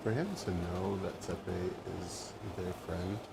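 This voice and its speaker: A man's voice: sits low, fairly even intonation, measured pace, vocal fry. A man giving casual commentary as he streams a video game. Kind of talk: casual live gameplay commentary. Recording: very loud game noise behind.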